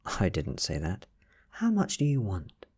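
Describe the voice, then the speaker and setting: British man reading as a nervous, scheming blackmailer, low-voiced. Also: wildly swooping pitch, a little slow, exaggerated voice. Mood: indignant. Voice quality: soft, breathy. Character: nervous, scheming male investigator attempting blackmail. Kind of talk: soft-spoken ASMR storybook reading.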